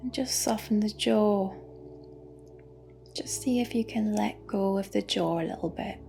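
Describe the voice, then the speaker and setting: Very slow, soft-voiced woman guiding meditation. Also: mid-range voice, creaky, slight background noise. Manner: gentle, unhurried, with long pauses. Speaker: meditation guide. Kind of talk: guided meditation, spoken softly and soothingly. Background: music.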